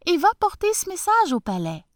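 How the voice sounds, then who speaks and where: A woman voicing a clever young peasant daughter who stays calm and witty. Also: very high voice, normal speed, dry recording, clean studio sound, pitched above the performer's own voice, heavily acted. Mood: reassuring.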